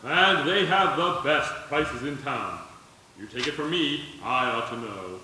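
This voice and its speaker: A man's voice: mid-range voice, animated, measured pace, natural voice. A man playing a jovial, avuncular department-store Santa selling gifts in an old-time radio commercial. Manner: hearty, salesy. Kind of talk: 1940s radio commercial acting. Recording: echoey hall, distant, music behind.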